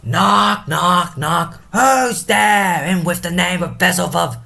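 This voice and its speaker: A man's voice: medium pitch, expressive, slow, slight background noise. A man playing a drunken, rambling porter in a theatrical Shakespearean soliloquy, exclamatory and dramatic. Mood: loud, mocking. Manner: exclamatory, dramatic, swinging from loud calls to hushed muttering. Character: drunken, rambling comic castle porter playing at hell's gatekeeper.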